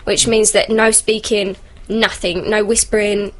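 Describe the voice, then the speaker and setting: A woman's voice: medium pitch, little pitch movement, unhurried, low-quality recording. A woman, a singer chatting informally and explaining things as a guest on a radio show. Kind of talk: casual radio chat with vocal demonstrations. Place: community radio studio.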